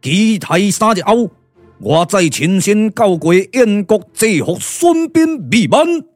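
Theatrical, boastful old immortal sage. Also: male voice, sits high, sing-song, dry recording, faint music behind, full character voice. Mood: confident. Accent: Taiwanese. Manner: theatrical, boastful, drawn-out declamation. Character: proud, scheming, hot-tempered old immortal sage. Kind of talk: Taiwanese glove-puppet radio drama acting.

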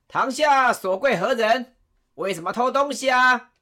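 Woman doing a sly mocking chancellor, radio drama, voice kinda high and really swingy. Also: sharp tone, exaggerated voice. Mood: gruff. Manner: mock-lecturing, folksy, sly threats. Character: Cao Cao, male chancellor, wry and menacing.

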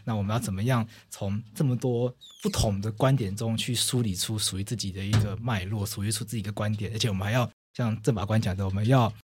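A man's voice: fairly low pitch, fairly even intonation, fast, hardly any pauses, a little mellow, slight background noise. A man with a Taiwanese Mandarin accent hosting a podcast in a conversational style. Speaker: podcast host.

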